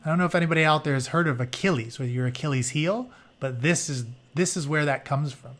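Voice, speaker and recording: A man with a fairly high voice works as a teacher reading a book aloud. He moves between storytelling narration and casual, conversational asides that explain things for the listeners. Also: fast, a bit bright. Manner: informal, conversational, explains as he goes.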